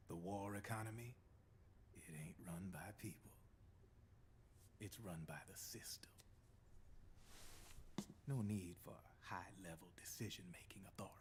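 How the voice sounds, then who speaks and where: Low-voiced cynical gun launderer. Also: male voice. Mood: grave, knowing. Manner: folksy, slangy, knowing. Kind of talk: video game cutscene exposition. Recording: noisy game audio captured on stream.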